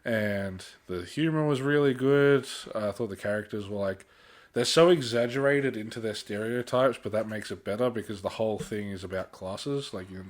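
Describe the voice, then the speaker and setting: A man with a fairly low voice, talking like a podcast co-host among friends. His speech is informal and slangy, with the odd mild swear word. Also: normal speed. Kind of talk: casual pop culture podcast chat.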